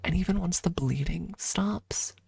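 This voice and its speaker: Female ASMR streamer: lowish, creaky voice, soft casual chat slipping in and out of whispers, close-miked. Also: no echo. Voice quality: breathy, soft. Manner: halting, informal, drifting between whisper and soft talk. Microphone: close microphone.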